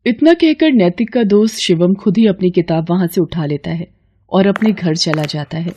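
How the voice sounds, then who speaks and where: A woman's voice: fairly low pitch, moderate pace, warm tone, husky. A woman narrating a scripted moral story as its storyteller.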